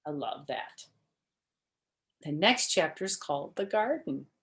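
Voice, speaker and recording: A woman's voice: deep voice, expressive, unhurried. A woman reading a children's story aloud expressively, with chatty asides, questions and simple explanations for young listeners.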